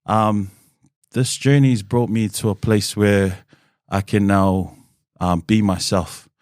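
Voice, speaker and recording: A man in his thirties with a low voice shares his story in an easy, candid way. He fills his pauses with "um" and "kinda". The sound is close and dry, with no room around it. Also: no room sound. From New Zealand. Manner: relaxed and open, with frequent "um" and "kinda". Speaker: guest.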